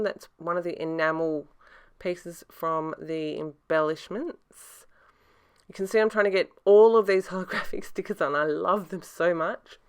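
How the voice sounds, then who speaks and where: A woman narrates her craft work in an easygoing, halting way, pausing on "um" and restarting phrases. Her voice sits fairly low and comes through smooth and clear, though she is a little scratchy and clears her throat now and then. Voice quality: soft-spoken, hesitant with many fillers. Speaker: scrapbooking crafter. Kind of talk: casual craft process voice-over.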